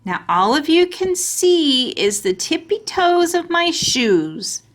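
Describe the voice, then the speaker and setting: A woman voicing an excitable, sassy little kindergarten girl from a storybook, with childish, emphatic kid talk. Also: very high-pitched, slow, voice pushed up, full character voice. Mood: delighted. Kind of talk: children's storybook character reading.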